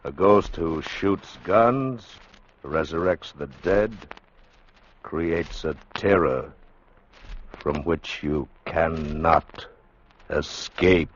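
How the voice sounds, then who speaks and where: An American man announcing in dramatic 1940s radio style, with ominous, drawn-out phrasing. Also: very deep voice, slight background noise. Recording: muffled vintage radio recording, music behind.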